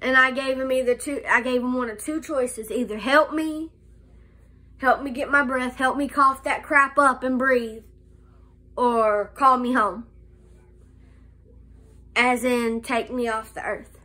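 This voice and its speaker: A young woman recovering from a cold, talking slowly with a nasal voice and hesitating often in a casual vlog recorded close to a phone camera indoors. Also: sits high, steady tone, bright, forceful tone. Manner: slow, halting, many "um"s and restarts. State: nasal from a cold, slight cough. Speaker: vlogger. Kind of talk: casual life update vlog. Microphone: phone camera held close.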